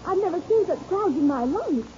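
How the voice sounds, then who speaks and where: A woman's voice: very high voice, animated, brisk, darkish tone. An actress playing a genteel, gushing young woman in a 1930s radio adventure serial. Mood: excited. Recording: dull, noisy old radio recording.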